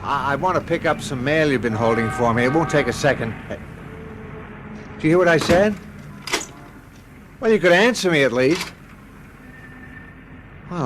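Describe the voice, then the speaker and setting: Over a clearly noisy bed of radio effects comes an affable middle-aged husband with a fairly high male voice. He talks in a folksy, everyday way and is quick to grumble when slighted. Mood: indignant, baffled. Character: middle-aged suburban husband and father, affable, easily irked. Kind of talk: radio drama acting. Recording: noisy radio drama with background sound effects.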